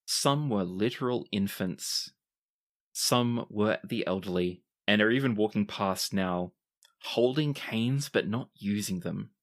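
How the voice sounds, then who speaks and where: A game master narrating a tabletop roleplaying game in short, dramatic, unhurried storytelling phrases. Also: mid-range voice.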